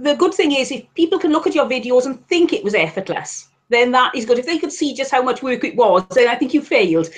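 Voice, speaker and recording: A woman with a Welsh accent from South Wales, a guest reseller on a live stream, chatty and candid with wry asides. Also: sits high. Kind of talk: casual live-stream chat.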